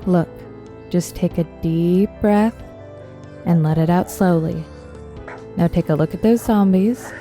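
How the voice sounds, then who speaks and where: A young woman playing a tough, sardonic zombie killer in an audio drama, with a deadpan, teasing, brisk delivery. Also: fairly low pitch, faint music behind, lightly acted. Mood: cocky, coaching. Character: tough, sardonic twenty-five-year-old woman, cocky zombie killer. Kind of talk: audio drama acting, casual dialogue.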